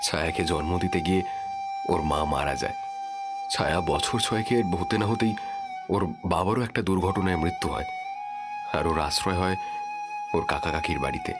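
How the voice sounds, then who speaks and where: Low-pitched, sombre young village man an actor's role. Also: moderate pace, long pauses, loud music behind the voice, natural voice. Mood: sombre recollection. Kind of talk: cartoon horror story dialogue and recollection.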